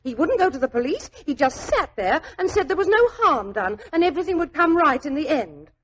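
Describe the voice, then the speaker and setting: A woman's voice: sits high, big pitch swings, a little fast, fairly bright tone. An actress playing a chatty, naive young working-class woman in a 1940s radio drama, with a London accent and a flustered, rambling, deferential manner. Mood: indignant, chatty. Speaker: actor in a radio detective drama.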